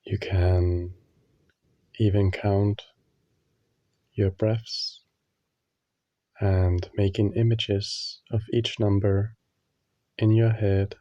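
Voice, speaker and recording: A man leading a guided meditation, speaking calmly and soothingly with long pauses. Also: fairly low pitch, no room sound. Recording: dull, muffled lo-fi audio.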